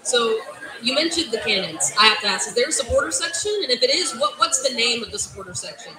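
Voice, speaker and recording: A woman's voice: a little high, animated, fast. A woman co-hosting a casual soccer interview at a brewery event, bubbly and laughing. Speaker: podcast co-host. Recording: echoey room, loud crowd noise.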